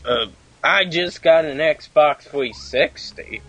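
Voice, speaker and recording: A man's voice: fairly high pitch, slow pace, fairly bright tone, soft background music, poor audio. A man calling in to a gaming show over Skype, hesitant with short answers. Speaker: caller. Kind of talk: call-in chat.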